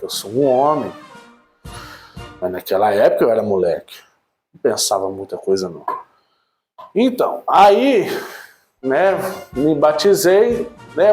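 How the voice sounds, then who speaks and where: A man in his thirties tells his own church story in a casual, talkative way, rising with excitement and then dropping low and quiet. His pitch sits in the middle range for a man. Soft music plays faintly underneath. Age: 30s. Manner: animated storytelling, frequent "né?" tags, swings between excited and subdued. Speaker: church member giving testimony. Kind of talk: casual personal testimony.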